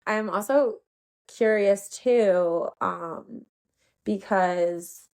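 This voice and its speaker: Unhurried woman with a creaky voice. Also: mid-range voice, a little mellow. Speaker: podcast host. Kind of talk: casual conversational interview.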